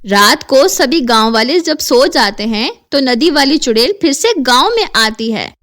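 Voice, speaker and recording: A woman reading scripted narration for an animated horror storybook tale. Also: high-pitched, unhurried.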